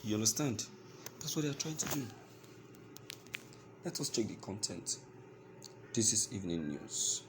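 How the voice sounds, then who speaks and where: A man with a Nigerian accent giving news commentary to his listeners, speaking in a halting, emphatic way and repeating phrases. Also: fairly low pitch, expressive, noisy background.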